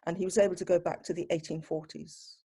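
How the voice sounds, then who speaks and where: A woman being interviewed, talking casually and reflectively in an unhurried conversational style. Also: lowish voice, little pitch movement, dark tone, husky. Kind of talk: casual reminiscing.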